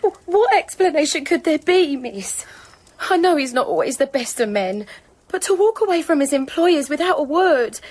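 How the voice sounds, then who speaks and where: A woman's voice: high voice, lively intonation, normal speed, darkish tone. A woman playing an anxious, humble wife in a radio drama, stammering and deferential. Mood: distraught. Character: anxious, humble young wife of a missing courier.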